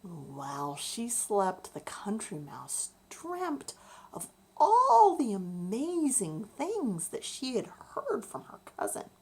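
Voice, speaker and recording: A woman telling a bedtime story to children, expressive and deliberate, explaining things as she goes. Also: on the high side, slightly rough.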